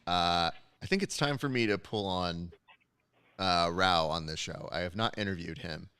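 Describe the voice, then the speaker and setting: A man's voice: low-pitched, normal speed, sharp tone, smooth, no room sound. A man hosting a casual post-show chat, joking, teasing and rambling as he runs the segment. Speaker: show host.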